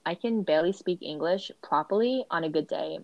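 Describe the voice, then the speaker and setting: Young woman, low-ish voice, says like a lot, dry close sound. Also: a little fast, vocal fry, no echo, highs cut off. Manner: casual, hedging with frequent "like". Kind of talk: casual conversation.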